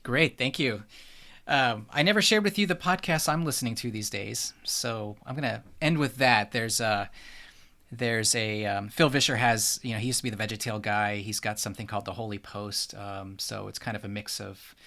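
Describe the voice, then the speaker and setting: A man's voice: on the low side, moderate pace, vocal fry, bright, forceful tone. An American man hosts a podcast in an informal, chatty, conversational way. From United States. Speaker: podcast host.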